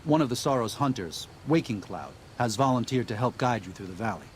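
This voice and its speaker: A man playing an earnest, gentle missionary in a video game, talking in a mild, sincere and slightly hesitant way. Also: mid-range voice, lively intonation, fairly quick, a little hiss or hum, lightly acted. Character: earnest, gentle missionary leader guiding wasteland tribes.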